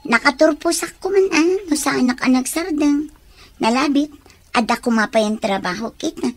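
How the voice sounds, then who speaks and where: Very high girlish voice, a woman acting. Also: normal speed, no echo, close to an everyday voice. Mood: wistful. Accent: Ilocano. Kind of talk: Ilocano radio drama acting.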